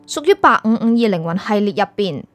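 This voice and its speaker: 女聲演嚴厲冇耐性嘅女檢察官，港式粵語，有配樂. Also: female voice, medium pitch, very animated, fast talker, lightly acted. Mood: stern, official. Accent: Hong Kong Cantonese. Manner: curt, authoritative. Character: stern, impatient female spirit prosecutor interrogating a suspect. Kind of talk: radio drama acting. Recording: music behind.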